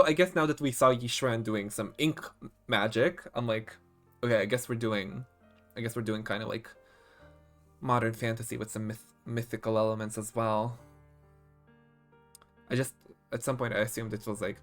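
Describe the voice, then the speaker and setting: A man's voice: on the low side, normal speed. A man giving casual, rambling live commentary as he streams a game playthrough. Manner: rambling, animated reactions. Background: faint game music.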